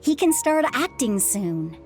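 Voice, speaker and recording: A retired adventurer from a video game, nostalgic and resilient. Her voice sits a little high, with a measured, reassuring delivery. Faint music plays behind her. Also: big pitch swings, darkish tone. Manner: measured, comforting. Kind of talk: video game character acting.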